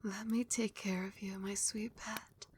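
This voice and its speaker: A woman voicing a doting, possessive vampire queen in an ASMR roleplay, speaking softly and breathily, often dropping into a whisper, with tender, regal endearments. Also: medium pitch, little pitch movement, a little slow, breathy edge. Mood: soothing, protective. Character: doting, possessive vampire queen soothing her beloved pet.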